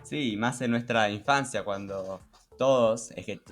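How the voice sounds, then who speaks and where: A man's voice: on the low side, a little slow. A young man with a Rioplatense Spanish accent, co-hosting a casual podcast in a reflective, somewhat hesitant way, repeating words as he goes. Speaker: podcast co-host. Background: background music.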